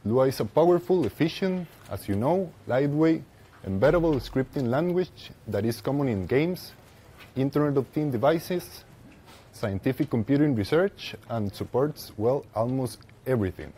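Mellow-voiced young Costa Rican man presenting. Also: mid-range voice, lively intonation, normal speed, husky. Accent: Costa Rican Spanish. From Costa Rica. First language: Spanish. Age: young adult. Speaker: conference speaker. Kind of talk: technical presentation with slides. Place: lecture room with seated audience, indoors.

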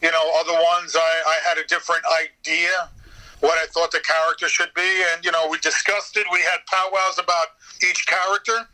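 A man with a very bright, forceful voice sitting a little high. He chats casually as an interview guest and tells anecdotes like a performer. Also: brisk, low-quality recording. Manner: animated storyteller, slips into acting out bits. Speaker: interview guest, radio theater voice actor.

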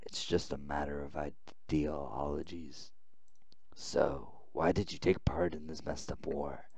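A man reading a visual novel aloud as a weary, confused young male prisoner, subdued and halting. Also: very deep voice, fairly even intonation, a little mellow, slightly rough, dry recording, voice pushed down, exaggerated voice. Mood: questioning, wary.

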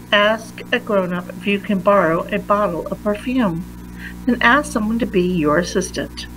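A woman reading a children's science picture book aloud with deliberate, emphatic phrasing for young listeners. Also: mid-range voice, fairly bright tone, slight background noise, poor audio. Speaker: read-aloud reader.

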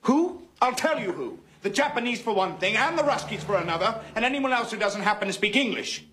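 Opinionated silent-film performer, theatrical. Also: male voice, sits high, animated, a little fast, a bit bright. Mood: emphatic. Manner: theatrical, lecturing, mimicking. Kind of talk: film drama monologue.

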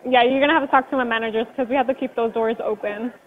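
Curt high-voiced woman clerk on the phone. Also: steady tone, racing. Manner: curt, dismissive. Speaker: store clerk. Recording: tinny phone line with noise.

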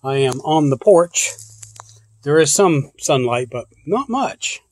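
A man's voice: fairly high pitch, lively intonation, slow pace, darkish tone, husky. Man, casual unboxing talk, plainspoken, restarts, outdoors on a porch, phone camera. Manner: plainspoken, thinks aloud, loose restarts. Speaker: prepper channel host.

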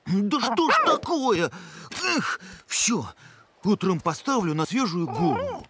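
Fussy, wildly expressive cartoon creature. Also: male voice, sits high, takes it slowly, background noise, heavily acted. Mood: fretful. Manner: fretful, exclaiming, expressive. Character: big fussy sleepless male cartoon creature, tender-hearted perfectionist. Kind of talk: children's animated series acting. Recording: studio cartoon dialogue under loud music. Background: cartoon music.

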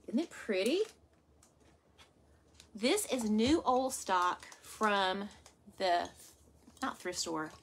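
A woman's voice: mid-range voice, a little hiss or hum. A woman, chatting to viewers as she unboxes thrift finds in an indoor workroom. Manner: chatty, addresses viewers as "y'all". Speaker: vlog host. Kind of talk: casual haul unboxing.

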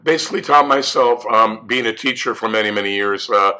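Hoarse older man telling stories. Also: on the low side, fairly quick, low-quality recording. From California. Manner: relaxed, storytelling. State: hoarse from a cold. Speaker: guest musician. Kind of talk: casual interview. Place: home music room with guitars.

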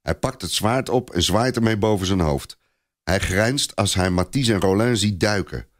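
A man's voice: lowish voice, moderate pace. A man narrating a scripted story expressively, as a storyteller.

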